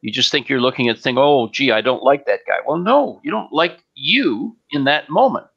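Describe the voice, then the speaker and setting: A man giving an unscripted spiritual question-and-answer talk as a teacher, speaking deliberately and emphatically with frequent pauses on an online stream. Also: medium pitch, animated, breathy edge, lo-fi sound.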